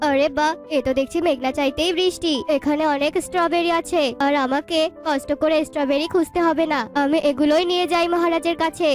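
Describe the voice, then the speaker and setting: A woman voicing an opportunistic, excitable pigeon in a cartoon, full of exclamations. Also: sits high, fairly quick, hardly any pauses, soft background music, close to an everyday voice. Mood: delighted.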